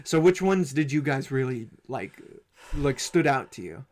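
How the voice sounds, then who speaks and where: A man's voice: medium pitch, very animated, measured pace, a little mellow. A man hosting a casual film podcast, chatting informally and swearing freely. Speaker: podcast host.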